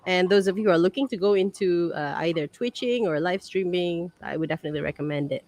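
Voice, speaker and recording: A woman with a Malaysian English accent hosting a relaxed livestream chat. Her voice sits a little low, and she talks in a friendly, rambling way full of fillers. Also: fast talker, no echo. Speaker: livestream host. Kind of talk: casual livestream interview.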